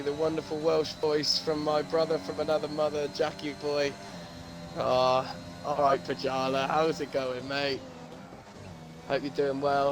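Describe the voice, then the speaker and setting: A man co-commentating a live online race, bantering and greeting viewers from chat. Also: a little high. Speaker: sim racing co-commentator. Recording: race game engine noise behind voice.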